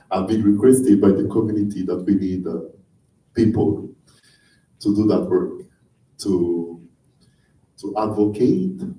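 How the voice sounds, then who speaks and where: A young man from Polynesia speaks in a very deep, dark, flat voice, earnest and hesitant with frequent fillers. He is heard from a distance in an echoey conference hall with a faint rumble underneath. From French Polynesia. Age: 20s. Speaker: panel speaker. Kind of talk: conference panel talk.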